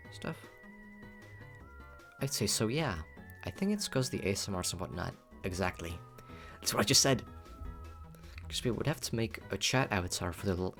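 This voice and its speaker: A man chatting casually on a livestream in a playful, cutesy, rambling way, often repeating himself. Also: lowish voice. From Philippines. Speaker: VTuber livestreamer.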